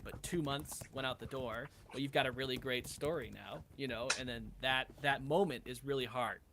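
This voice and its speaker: A man speaking as a podcast guest in animated, casual chat, telling stories in an enthusiastic, rambling way. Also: mid-range voice, a little hiss or hum. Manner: rambling, enthusiastic storytelling with acted-out quotes.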